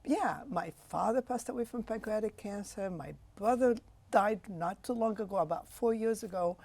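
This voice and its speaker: Husky, dark-voiced woman in her sixties. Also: mid-range voice, moderate pace. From Egypt. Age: 60s. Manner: passionate, emphatic, repeats key phrases. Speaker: physician guest. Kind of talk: interview answers.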